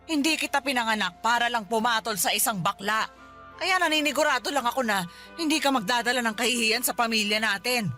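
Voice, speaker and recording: A woman's voice: a little high, a little fast, bright, forceful tone, faint music behind. A woman playing a stern, suspicious, blunt middle-aged mother in a Filipino radio drama. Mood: confrontational, stern. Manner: blunt, disapproving.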